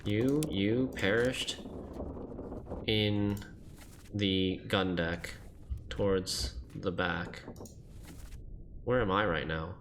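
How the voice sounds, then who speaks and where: A streamer giving live gameplay commentary, thinking aloud in an animated, self-deprecating way. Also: deep voice, extremely slow, long pauses, a little hiss or hum.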